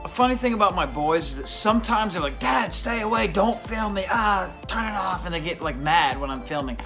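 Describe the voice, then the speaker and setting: A forty-year-old youth pastor talks through his day in a chatty, self-deprecating way. His voice sits a little high for a man. Clear music plays behind him. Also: expressive, brisk. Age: 40s. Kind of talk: casual vlog narration.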